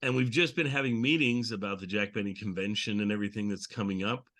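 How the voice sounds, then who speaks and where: Male host, lowish pitch, bright sharp tone, enthusiastic, rambling, casual group chat. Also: fast. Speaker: show host. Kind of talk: casual group chat about old radio.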